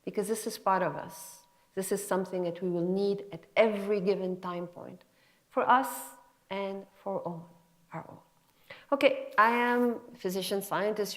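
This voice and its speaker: A middle-aged woman gives a motivational lecture to students in an emphatic, conversational, encouraging way. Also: mid-range voice. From Lebanon and France. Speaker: physician scientist giving a guest lecture.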